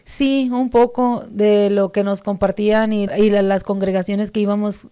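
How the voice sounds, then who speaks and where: Female guest from Mexico, warm mellow tone, hesitant testimony with frequent pauses. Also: medium pitch, fairly even intonation, clean tone. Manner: hesitant, many fillers and restarts, mellow. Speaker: interview guest. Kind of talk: personal faith testimony.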